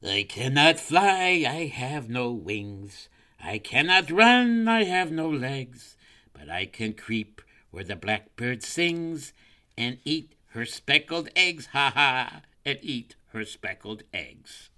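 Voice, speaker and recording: A man's voice: medium pitch, expressive, moderate pace, hardly any pauses, sharp tone, pitched above the performer's own voice. A man voicing a greedy, ill-mannered male snake, a storybook villain. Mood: gloating.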